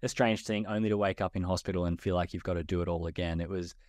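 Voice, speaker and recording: A young Australian man, a guest in a podcast interview, speaking in a relaxed way. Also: sits low, fast talker, dry recording, studio quality. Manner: relaxed, many fillers and restarts. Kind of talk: conversational interview.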